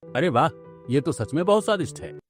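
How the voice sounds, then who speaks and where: A man's voice: a little high, animated, brisk, faint music behind, close to an everyday voice. A man voicing a proud, stubborn and demanding brother-in-law in a cartoon family drama. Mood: impressed.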